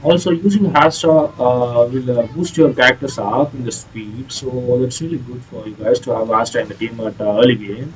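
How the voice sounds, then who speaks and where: A man giving casual, explanatory commentary on a video game playthrough in a hesitant, halting way. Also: mid-range voice, a little hiss or hum, poor audio. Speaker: gaming video creator.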